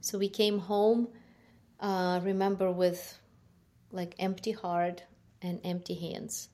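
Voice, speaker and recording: Middle-aged Ukrainian-accented woman, slow and soft-spoken interview guest sharing her story. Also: on the low side, little pitch movement. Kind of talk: personal testimony interview.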